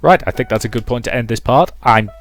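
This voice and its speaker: A man's voice: mid-range voice, fast talker. A man hosting a let's play, reading a visual novel aloud and commenting on it, with music playing behind him.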